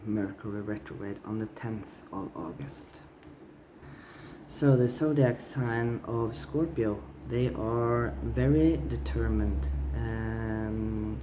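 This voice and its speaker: A man giving a tarot reading with a scratchy, strained voice, hesitant and full of fillers and restarts. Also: mid-range voice. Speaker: tarot reader.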